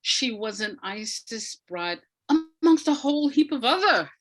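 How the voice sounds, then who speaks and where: A woman's voice: on the high side, lively intonation, measured pace, faint music behind. A woman speaking conversationally as an interview guest, an educator who repeats phrases for emphasis.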